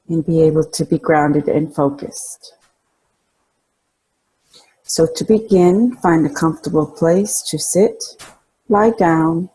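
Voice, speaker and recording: A woman narrates a guided relaxation meditation at a very unhurried pace. Her voice is soft-toned and mellow, with a husky, breathy texture. She gives calm, soothing instructions and leaves space between phrases. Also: low voice, low-quality recording.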